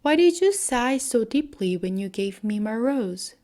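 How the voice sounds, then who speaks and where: A woman voicing a gentle, devoted young daughter in a fairy tale, earnest and polite. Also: a little high, lively intonation, unhurried. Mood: grateful, curious.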